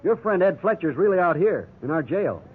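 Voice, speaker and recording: An actor playing a mild, easygoing police officer in a 1950s radio drama. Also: male voice, sits high, very animated, fairly quick, slightly rough, faint music behind, full character voice. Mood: polite. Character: mild, fair-minded junior male police officer. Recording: tinny phone-like 1950s radio recording.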